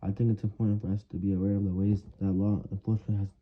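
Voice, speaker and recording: Low-voiced young man, dull lo-fi recording. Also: flat delivery, racing. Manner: earnest, stumbles over words while reading. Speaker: student. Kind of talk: reading prepared written answers aloud.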